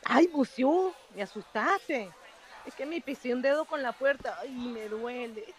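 A woman's voice: high voice, lively intonation, a little slow. An actress playing a feisty, lovestruck young Venezuelan woman in a humorous radio soap, colloquial and coy, with a Venezuelan accent. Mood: coy, scolding.

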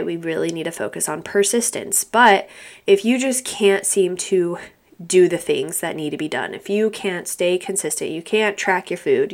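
Congested young woman, chatty podcast host. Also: on the low side. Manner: chatty, rambling, frequent ums and laughs. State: stuffy nose, congested. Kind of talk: casual solo podcast talk.

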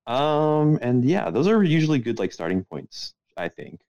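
A man's voice: medium pitch, very animated, moderate pace, warm tone, no echo, dull audio. A man co-hosting a casual podcast in a laid-back, hesitant way, often hedging what he says. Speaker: podcast co-host.

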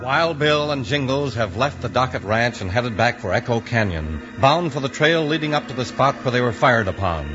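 A man is the dramatic American narrator of an old radio western. His mid-pitched voice tells the story over loud organ music on a muffled recording. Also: lively intonation, normal speed, hardly any pauses, lightly acted. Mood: suspenseful.

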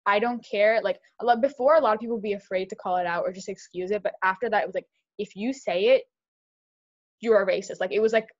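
A young woman co-hosting a podcast, talking fast and animated in casual chat, with lots of "like". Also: medium pitch, lo-fi sound. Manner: fast, animated, heavy use of "like". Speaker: podcast co-host.

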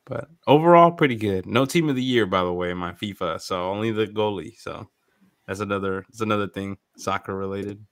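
A man from the Bay Area talks in a fairly low voice. He sounds stuffed up from a coming cold and keeps his chat loose and slangy. The recording is dry, with no room sound around him. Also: brisk, no room sound. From San Francisco Bay Area. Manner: loose and slangy, laughs easily. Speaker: podcast co-host. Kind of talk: casual sports podcast chat.

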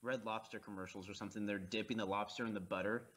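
A man's voice: lowish voice, fairly even intonation, fast, fairly bright tone. A man co-hosting a casual movie commentary, easygoing and laughing along with crude jokes. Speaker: podcast co-host.

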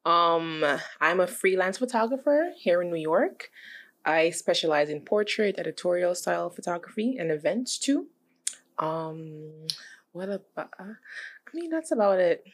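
A young woman from Guyana chats on a podcast with a low voice. Her pitch moves a lot as she talks, and she laughs easily. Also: expressive, unhurried. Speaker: podcast co-host. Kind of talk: casual podcast chat.

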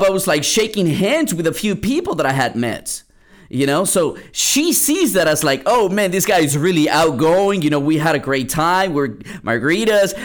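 A young man from Peru hosting a podcast, telling a casual story with lots of restarts and fillers. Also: a little high. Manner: rambling, many restarts and fillers. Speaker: podcast host.

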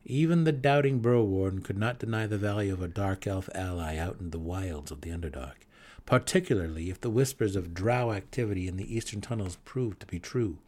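A man's voice: deep voice, fairly quick, darkish tone. A man reading a scripted fantasy story as a dramatic audiobook narrator.

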